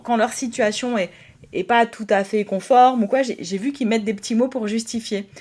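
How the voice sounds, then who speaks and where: A woman pastor co-hosting a podcast, speaking in a hesitant way with many fillers and occasional soft laughs. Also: on the high side. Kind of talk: casual conversation.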